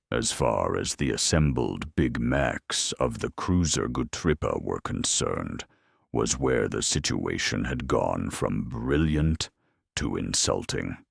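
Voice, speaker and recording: A man narrating a scripted dramatic story in a wry, deadpan manner. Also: a bass voice, creaky, slightly rough, dry recording, clean studio sound.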